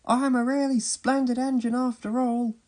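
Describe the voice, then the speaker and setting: A man's voice: very high-pitched, flat delivery, normal speed, a little mellow, voice pushed up. A man voicing a vain, pompous, self-satisfied steam engine in a children's storybook. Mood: haughty.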